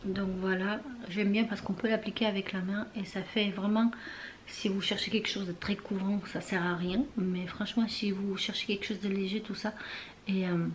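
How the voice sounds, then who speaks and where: A young woman talks casually in her bedroom, rambling through loose, trailing sentences full of fillers. She often drifts into a soft near-whisper. Faint room noise sits underneath. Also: mid-range voice, little pitch movement, normal speed. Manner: chatty and rambling, many fillers and trailing phrases. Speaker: beauty vlogger. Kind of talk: casual chat to camera.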